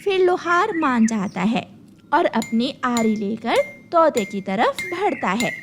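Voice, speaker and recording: High-pitched, lively female story narrator. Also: takes it slowly, slight background noise. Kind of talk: scripted children's story narration.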